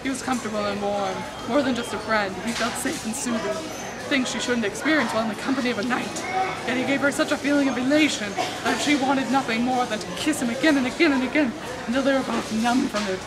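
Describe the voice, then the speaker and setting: High-voiced young woman reading aloud over crowd noise. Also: fast, rough recording. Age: 20s. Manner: theatrical, amused, mocking asides. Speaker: amateur vlogger reading aloud. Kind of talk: playful dramatic reading of a romance novel. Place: indoors among book aisles of a store or library. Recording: busy public space with loud crowd murmur.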